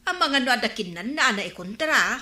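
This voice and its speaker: A woman's voice: fairly high pitch, expressive, fairly quick, bright, forceful tone. A woman playing a village councillor who minds a store, in an Ilocano radio comedy drama. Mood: curious. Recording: roomy, echoing radio drama recording.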